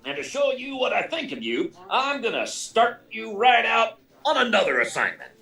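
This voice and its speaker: A gruff, fatherly editor-in-chief, played by a man in vintage radio style. His creaky, forceful voice sputters and swoops, heard a little away from the mic. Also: extremely high pitch, huge pitch swings, moderate pace, full character voice. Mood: hearty. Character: blustery, fatherly older male newspaper editor boss. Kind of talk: 1940s radio serial acting. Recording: distant mic, live remote performance.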